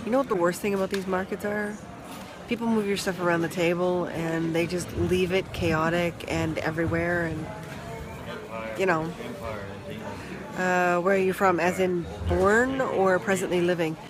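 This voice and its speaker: Toronto-born market seller livestreaming off a phone, rambly, keeps restarting sentences, loud crowd all around. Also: medium pitch. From Toronto, Canada. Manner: rambling, frequent restarts and trailing asides. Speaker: vlogger livestreaming from a flea market stall. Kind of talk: casual chat with live viewers. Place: outdoor flea market. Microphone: handheld phone. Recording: busy outdoor market crowd.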